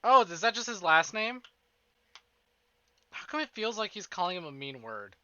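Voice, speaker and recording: A male streamer with a very bright, sharp and animated voice, pitched a bit high. He sounds expressive and informal on slightly dull livestream audio with faint music behind. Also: moderate pace, clean tone, no echo. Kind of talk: casual livestream chat and reading visual novel narration aloud. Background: game music.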